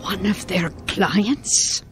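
An actress playing a devoted, gentle elderly wife in a radio drama, speaking in a tender, fretful, pleading way. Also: female voice, mid-range voice, expressive, extremely slow, over music. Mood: hesitant, wondering. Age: older.

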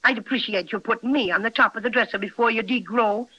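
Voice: mid-range voice, fast, sharp tone. An actor playing a tiny, cheerful, fussy talking toy bear in a chatty, self-important 1930s radio style. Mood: cheerful, boastful. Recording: muffled, narrow-band 1930s radio recording.

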